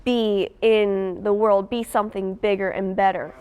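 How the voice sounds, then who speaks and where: A woman's voice: mid-range voice, fairly even intonation, unhurried, clear, resonant tone, narrow, tinny audio. A teenage female student actress chatting expressively about her character in an interview. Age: teens. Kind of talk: casual interview.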